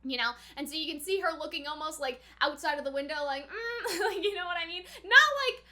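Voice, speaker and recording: A woman's voice: high voice, a little fast, pushed and bright. A young woman giving casual, chatty reaction commentary, thinking aloud in a slangy, giggly way. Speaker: anime reaction host.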